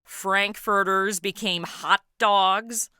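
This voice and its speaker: A woman's voice: medium pitch, fairly even intonation, extremely slow, sharp tone, clean tone, no room sound, studio quality. A woman hosting a podcast interview in a casual, playful, quippy conversational way. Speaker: podcast host.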